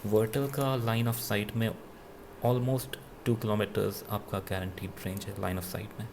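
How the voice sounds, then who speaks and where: A man with an Indian accent giving an unscripted gadget review in a halting, self-correcting way, switching between two languages. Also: lowish voice, little pitch movement, background noise. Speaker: product reviewer. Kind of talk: unscripted gadget review, mixing Hindi with English.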